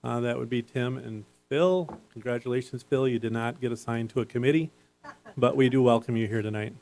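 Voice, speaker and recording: A man's voice: mid-range voice, a little fast, darkish tone. A man chairing a city council meeting reads agenda items and vote results in a businesslike, procedural way in a council chamber.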